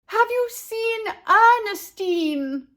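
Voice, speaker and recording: An anxious storybook mother, acted by a woman reader, calls out in a high voice with big swoops. She is exasperated and emphatic, drawing her words out slowly. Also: lively intonation, fairly bright tone, heavily acted. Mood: worried calling. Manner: calling out, emphatic. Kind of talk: children's storybook character voice.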